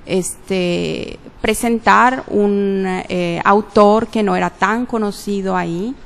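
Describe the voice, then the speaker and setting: A woman's voice: mid-range voice, fairly even intonation, takes it slowly, vocal fry. A woman answering interview questions casually, hesitant, with many fillers and self-corrections. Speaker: interview guest, literature graduate.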